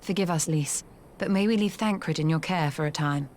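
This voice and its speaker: Woman as earnest analytical youth, game cutscene, deep-set pitch, polite, composed, formal. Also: normal speed, a little mellow, slight background noise, natural voice. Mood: earnest, concerned. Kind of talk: scripted video game cutscene acting.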